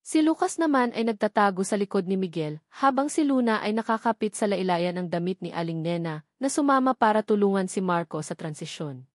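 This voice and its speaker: A woman's voice: medium pitch, animated, fairly quick, dry recording, clean studio sound. A woman reading scripted dramatic narration as a storyteller.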